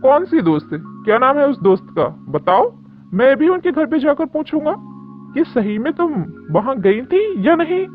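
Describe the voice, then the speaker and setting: A woman playing a suspicious, exasperated middle-aged father in an animated story. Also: sits high, normal speed. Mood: suspicious, stern. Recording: dull lo-fi audio with music.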